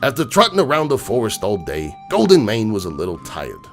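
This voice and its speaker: A man playing a gruff, streetwise crook horse uncle who tells bedtime stories in a slangy, cynical, digressing way. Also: fairly low pitch, wildly swooping pitch, brisk. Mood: wry, matter-of-fact. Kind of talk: dramatic reading of a comic bedtime story. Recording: music bed behind the voice.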